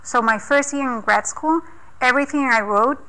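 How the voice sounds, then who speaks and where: A woman's voice: sits high, moderate pace, bright, forceful tone, poor audio. A woman whose first language is not English, speaking earnestly on a panel in a conference room and often restarting her sentences. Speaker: scientist panelist. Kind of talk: panel discussion.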